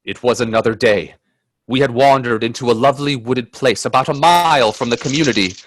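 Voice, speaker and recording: A man playing an earnest, uneasy gentleman adventurer in a radio play, telling his tale with theatrical, hesitant suspense. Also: mid-range voice, a little fast, close to an everyday voice. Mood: suspenseful, dread. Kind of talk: dramatic radio play narration and dialogue.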